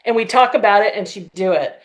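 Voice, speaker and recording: A woman's voice: mid-range voice, fast talker, poor audio. A woman guest on a podcast, casually telling stories as a writer and director, animatedly acting out quoted exchanges. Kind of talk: casual anecdotal chat.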